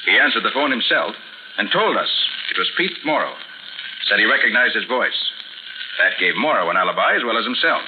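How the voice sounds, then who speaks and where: An actor playing a shrewd, self-assured private detective in a 1940s radio drama. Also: male voice, lowish voice, sing-song, fairly quick. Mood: explaining, assured. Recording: tinny, noisy 1940s radio recording.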